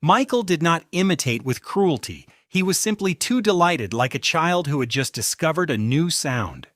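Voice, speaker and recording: A man reading scripted narration for a video in a measured storytelling way. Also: on the high side, very animated, fairly bright tone, no echo, clean studio sound. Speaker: narrator of a celebrity compilation video.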